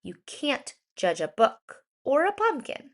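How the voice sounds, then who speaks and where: Slow, high-voiced woman reading a children's story. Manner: expressive, deliberate storytelling with emphasized words. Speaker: children's storybook reader, educator. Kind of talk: dramatic read-aloud of a rhyming children's story.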